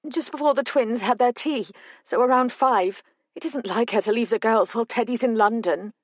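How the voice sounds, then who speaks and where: A woman's voice: a little high, no echo, clean studio sound, voice pushed up, lightly acted. Woman voicing a frightened tearful older mother. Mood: distraught. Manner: tremulous, rushed outpouring.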